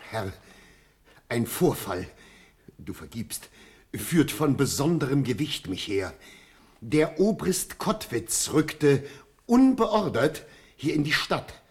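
A solemn, urgent courtier with a husky, veiled voice. He speaks classical verse deliberately, his pitch moving dramatically as he addresses his lord with deference and pleading intensity. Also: very animated, darkish tone, exaggerated voice. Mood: grave, alarmed. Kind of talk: classical German verse radio drama acting.

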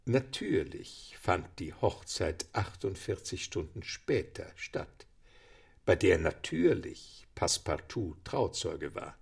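Male radio play narrator: husky, gravelly, animated storytelling. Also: low voice, slow pace. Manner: lively storytelling, dry irony.

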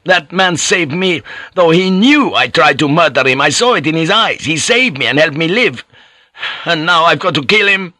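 A man's voice: medium pitch, sharp tone, no echo, voice pushed up, close to an everyday voice. A man playing a tormented sea pilot. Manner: impassioned, confessional. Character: tormented Portuguese ship's pilot, rough, guilty. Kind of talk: audiobook character voice.

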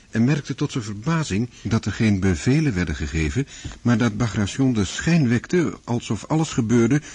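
A man's voice: mid-range voice, warm tone, breathy edge, no room sound. A man narrating a radio drama in a scripted, literary style.

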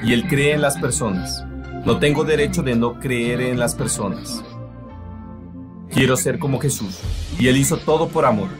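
A man's voice: medium pitch, normal speed, over music. A man preaching a sermon in an earnest, emphatic way, voicing it as a translation.

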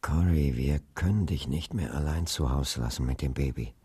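Husky, earnest young preacher. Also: male voice, extremely low pitch, dark tone, dry recording, clean studio sound, heavily acted. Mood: hushed, concerned. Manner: earnest, deliberate. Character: earnest, gentle young preacher and husband, peacemaker. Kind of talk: radio drama acting.

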